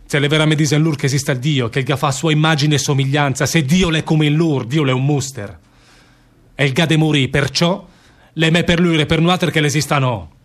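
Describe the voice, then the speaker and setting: An actor playing a bitter, weary condemned anarchist emigrant worker with a northern Italian Lombard accent, declaiming in dialect in a radio drama. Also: male voice, fairly high pitch, steady tone, fairly quick, natural voice. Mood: bitter, accusing. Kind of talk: stage-style radio drama monologue in dialect.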